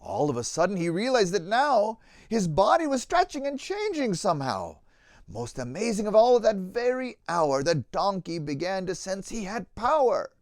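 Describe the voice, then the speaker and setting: A man, a pastor, reading a children's storybook aloud in an expressive, theatrical way, with a rhythmic delivery of verse. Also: sits high, normal speed, no echo, clean studio sound.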